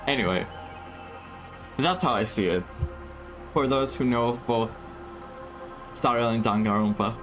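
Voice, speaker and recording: A man's voice: mid-range voice, unhurried, pauses often. A man commenting casually on a game playthrough, English not his first language, rambling and searching for words. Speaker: gaming streamer. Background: game music.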